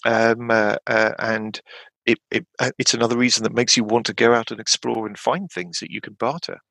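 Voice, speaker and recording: An excitable man talks in gravelly, fry-edged bursts, often stumbling over his words and jumping up in pitch when he gets keen. The sound is close and dry, with no room around it. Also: fairly quick, dry recording. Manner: enthusiastic, rambling, many restarts and rising bursts of pitch. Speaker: podcast guest. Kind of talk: enthusiastic podcast chat about tabletop games.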